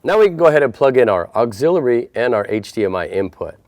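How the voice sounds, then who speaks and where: A man's voice: medium pitch, very animated, fast talker, a little mellow. A man presenting an installation tutorial, talking through each step in a plain, methodical, procedural way. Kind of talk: step-by-step instructional narration.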